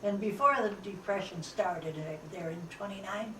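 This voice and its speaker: A woman's voice: mid-range voice, a bit bright. Elderly American woman in an echoey room. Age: 90 or older. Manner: halting, pausing to recall details. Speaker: interviewee, family elder. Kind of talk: informal oral history recollection. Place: echoey room, away from the microphone. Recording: roomy, distant, light background noise.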